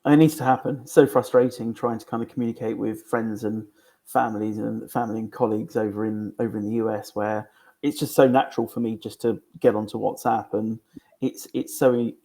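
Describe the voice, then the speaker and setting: Creaky-voiced man hosting a casual webinar. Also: lowish voice, steady tone, fairly quick, warm tone. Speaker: webinar host. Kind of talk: casual chat.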